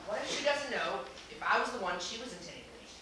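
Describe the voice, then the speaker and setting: Man acting a flustered gentleman, echoey and distant. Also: sits high, animated, heavily acted. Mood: anxious, exasperated. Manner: hesitant, halting, formal old-fashioned phrasing. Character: flustered, polite, anxious gentleman waiting for a masked date. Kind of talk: amateur stage acting in a comic one-act play. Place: small theater stage, recorded from the audience. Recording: echoey theater, distant camera mic, hissy noise.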